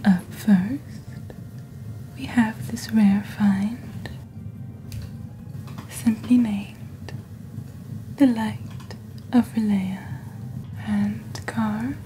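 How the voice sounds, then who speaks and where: A mysterious witch, acted by a woman, presents magical wares in calm ASMR narration. The voice is soft, breathy and dark-toned, close to a whisper. Each phrase comes slowly and deliberately, close to the mic, over faint background ambience. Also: mid-range voice, slight background noise, poor audio. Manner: slow, deliberate, hushed, theatrical presenting. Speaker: host of a fantasy shopping channel. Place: small dim indoor set. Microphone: close fluffy-covered studio microphone.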